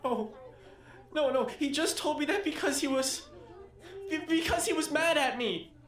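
A woman's voice: high-pitched, normal speed, distant mic, lightly acted. A woman acting in an audio drama as a timid, anxious twelve-year-old boy. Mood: upset, doubtful. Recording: roomy, off-mic student audio drama.

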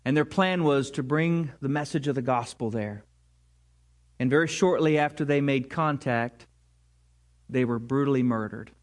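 A man's voice: mid-range voice, moderate pace. A man preaching a sermon to his congregation, earnest and rising to emphatic peaks. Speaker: pastor.

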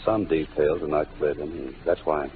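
An actor in a 1940s radio drama playing a confident, wisecracking detective with a dry, clipped delivery. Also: male voice, a bass voice, fairly even intonation, normal speed, slight background noise. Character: hard-boiled wisecracking male detective. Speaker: lead of a radio mystery. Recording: tinny old radio broadcast with music.

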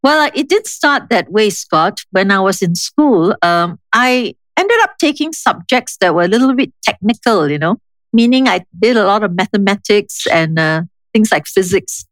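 An older woman with a Singaporean accent, speaking in a measured, reflective, explanatory way as an interview guest. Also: mid-range voice, animated, dry recording, poor audio. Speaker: interview guest, urban planning official. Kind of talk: conversational interview, reminiscing.

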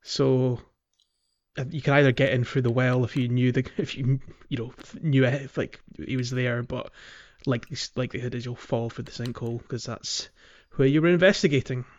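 A man's voice: mid-range voice, fairly even intonation, moderate pace, muffled recording. A man hosting a podcast, talking casually through a video game in a hesitant, explanatory way with colloquial British phrasing. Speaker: podcast host.

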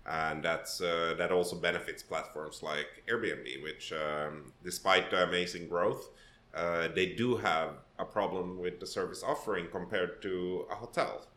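Casting: Finnish-raised man in his thirties, very low voice, thoughtful guest talk, roomy echo. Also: measured pace, sharp tone. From Finland. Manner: thoughtful, with frequent restarts and fillers. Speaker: podcast guest. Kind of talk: conversational interview.

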